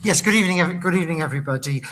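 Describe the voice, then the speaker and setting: Bright, slightly high-voiced man speaking. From Pembrokeshire, Wales. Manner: measured, orderly, with occasional restarts. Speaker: county councillor and election candidate. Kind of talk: hustings speech.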